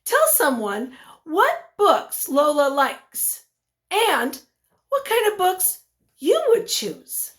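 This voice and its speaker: Female teacher, fairly high voice, unhurried pace, expressive picture-book read-aloud, prompting young listeners with questions. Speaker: teacher reading aloud to children.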